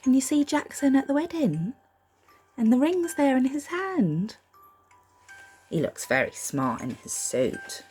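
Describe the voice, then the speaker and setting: A woman reads a picture book aloud expressively, gently reassuring young listeners and putting questions to them. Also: sits high, big pitch swings, a little slow, long pauses, soft background music. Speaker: storybook reader for young children.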